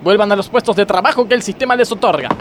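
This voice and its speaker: Exasperated boss played by a man, high animated voice, incredulous, comedy sketch with faint noise. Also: racing, lightly acted. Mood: weary, distrustful. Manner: incredulous, scolding. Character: exasperated, suspicious male factory boss. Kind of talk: comedy radio sketch acting.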